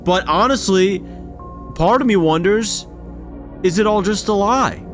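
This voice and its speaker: Casual male reaction commentator, animated and rambling, speaking over prominent background music. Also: high voice, unhurried. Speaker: reaction video creator. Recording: loud music under the voice. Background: background music from games.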